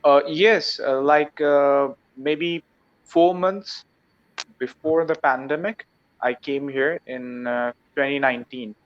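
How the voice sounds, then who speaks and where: A man from Pakistan, a teacher practicing his English on a video call, speaks slowly and haltingly in short answers. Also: fairly high pitch, steady tone, lo-fi sound. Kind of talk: casual video-call chat.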